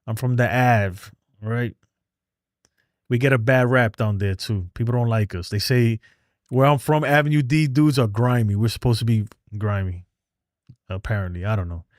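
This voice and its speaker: A man's voice: medium pitch, moderate pace, dry recording, clean studio sound. A man from New City, hosting a casual livestream and bluntly reading and answering chat. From New York City. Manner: streetwise, blunt, reads chat aloud. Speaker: livestream host. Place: home in Texas.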